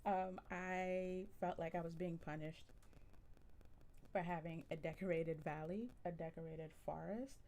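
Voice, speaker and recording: Woman, soft voice, mellow tone, fry at the edges, relaxed live-stream chatter, lots of ums. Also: on the low side, a little slow, a little hiss or hum. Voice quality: generally soft voice. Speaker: livestreamer. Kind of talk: casual live-stream chat with viewers.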